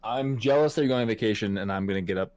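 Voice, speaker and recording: A man's voice: lowish voice, very fast, darkish tone, no room sound. A man in his twenties co-hosting a podcast in casual chat, loose and profane, laughing often. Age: 20s. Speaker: podcast co-host.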